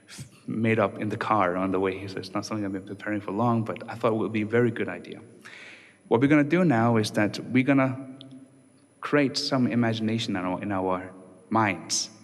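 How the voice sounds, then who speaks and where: Young Danish-born male researcher, chatty conference talk, church-hall reverb. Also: lowish voice. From Denmark. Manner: relaxed, conversational, many fillers and restarts. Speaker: doctoral researcher giving a talk. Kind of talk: conference presentation.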